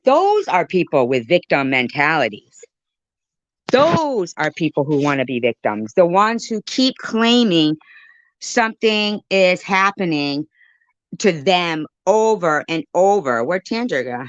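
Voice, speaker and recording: A woman's voice: on the low side, sing-song, unhurried, no echo, highs cut off. A woman giving an informal live-stream rant in an irritated, emphatic way, repeating phrases to drive her point home.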